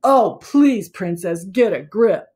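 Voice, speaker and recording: A woman's voice: medium pitch, expressive, slow, darkish tone, voice pushed down. A woman voicing a cocky boy who tells an action story in a blunt, slangy, sardonic way, as a storybook read-aloud. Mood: exasperated.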